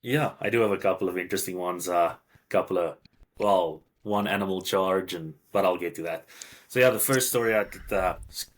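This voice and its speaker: A man from South Africa with a South African accent, a podcast guest telling hunting stories in a relaxed, plain way. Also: low-pitched. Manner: relaxed, plain storytelling with small pauses. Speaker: guest hunter. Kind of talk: casual storytelling.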